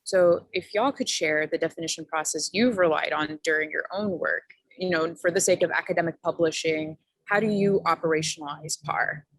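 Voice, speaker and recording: Moderator: a woman with a fairly low voice, polite and measured, on a dead, dry line. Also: dry recording, poor audio. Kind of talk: moderating a panel. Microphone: video call.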